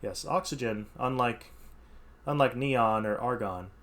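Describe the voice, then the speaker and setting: A man's voice: medium pitch, unhurried, fairly bright tone. A man reading educational text aloud as a teacher, stressing key words and adding informal explanatory asides.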